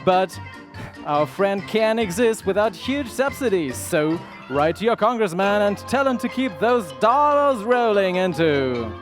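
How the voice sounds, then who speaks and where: A man's voice: high voice, sing-song, a little slow, faint music behind. A man narrating as a pompous, melodramatic announcer in 1930s radio style.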